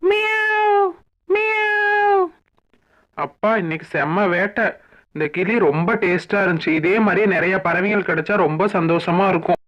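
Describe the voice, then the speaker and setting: A woman's voice: sing-song, a little slow, a bit bright, lo-fi sound. A woman voicing a greedy, predatory cat in a cartoon animal story, boastful and threatening, with meows. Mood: gloating. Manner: gloating, threatening, with high meows.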